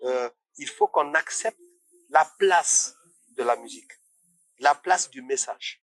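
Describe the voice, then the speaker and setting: A man's voice: medium pitch, slow, fairly bright tone, no echo. A man from Congo, a musician talking as a guest in a casual interview, passionate and rising to indignant questions.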